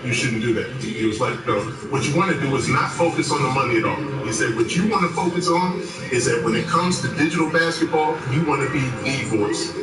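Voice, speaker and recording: A man co-hosting a casual talk stream, telling a story with lively quoted dialogue. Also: medium pitch. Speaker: co-host. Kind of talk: casual podcast chat. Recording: echoey, distant mic with music behind.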